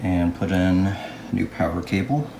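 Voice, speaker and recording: Deep, gravelly-voiced man, musing aloud. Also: background noise. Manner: unhurried, musing aloud to himself. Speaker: hobbyist radio restorer. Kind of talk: casual hands-on restoration video narration.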